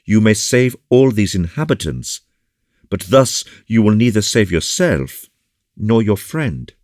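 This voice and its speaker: A man's voice: fairly low pitch, very animated, a little slow, darkish tone, no echo, studio quality, pitched above the performer's own voice. A man voicing an earnest, courteous young officer with an eager, agitated delivery in an audiobook. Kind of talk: audiobook character dialogue.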